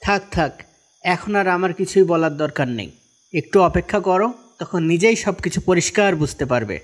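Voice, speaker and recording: A man's voice: high voice, lively intonation, brisk. A man voicing a kindly, devout and patient fisherman husband in a cartoon, speaking with assured, explaining manner. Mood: calm reassuring. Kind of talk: animated cartoon dialogue in Bengali. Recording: music behind the voice.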